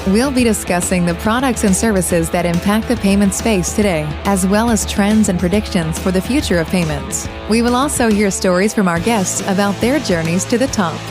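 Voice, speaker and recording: A woman's voice: medium pitch, normal speed, hardly any pauses. A woman reading a scripted podcast introduction with a polished announcer delivery. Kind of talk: scripted intro over music. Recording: music bed behind.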